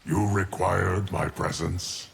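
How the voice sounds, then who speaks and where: An actor playing a stoic, loyal ancient guardian of time in a video game, formal, composed and grave. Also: male voice, sits low, fairly even intonation, slow pace, creaky, very noisy, heavily acted. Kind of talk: video game fight-intro dialogue.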